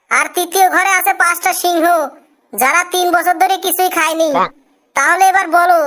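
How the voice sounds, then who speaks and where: A woman's voice: extremely high pitch, a bit bright. A woman voicing a weary, grumbling cartoon job interviewer in colloquial Bangladeshi dialect, given to easy praise. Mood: weary, quizzing. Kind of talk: comic cartoon dialogue.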